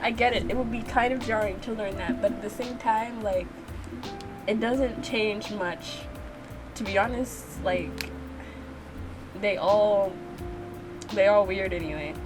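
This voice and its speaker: A woman giving blunt, slangy, expressive reactions as she plays through a game as a channel host. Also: fairly high pitch, measured pace, long pauses, poor audio. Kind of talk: playthrough reaction, reading dialogue aloud with casual commentary. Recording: music behind the voice. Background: music.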